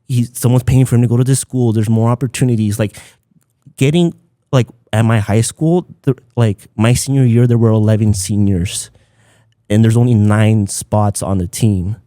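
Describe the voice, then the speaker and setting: Man, Spanish speaker, relaxed dark tone, dry close sound, casual podcast storytelling. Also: fairly low pitch, moderate pace, no echo. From Southern California, United States. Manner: informal, peppered with "like" and Spanish phrases. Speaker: podcast guest, content creator.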